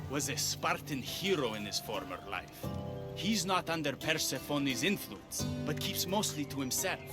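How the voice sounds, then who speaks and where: An actor playing a suave, confident rebel leader with a flirtatious manner in a mythic Greek video game. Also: male voice, on the high side, lively intonation, moderate pace, loud music behind the voice, lightly acted. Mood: assured. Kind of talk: video game cutscene dialogue.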